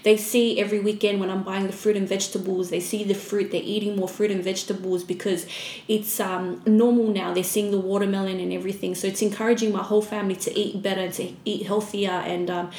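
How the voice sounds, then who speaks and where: Female interview guest, mid-pitched, casual, roomy echo with faint noise. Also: level tone, fast, darkish tone. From Fiji-born, raised in Australia. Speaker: podcast guest, small business owner. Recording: echoey room with background noise.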